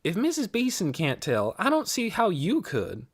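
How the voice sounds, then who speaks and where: Narrator doing a higher girl voice, casual, matter of fact, bit impatient, audiobook character. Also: wildly swooping pitch, moderate pace, breathy edge, exaggerated voice. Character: plain-spoken, hurried, slightly impatient girl.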